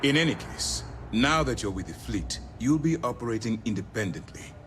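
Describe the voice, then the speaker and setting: A man's voice: mid-range voice, lively intonation, normal speed, background noise, natural voice. A man playing a stern, formal space navy commander giving orders in a scripted video game. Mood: composed, strategic. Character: stern, authoritative male space navy commander briefing an agent.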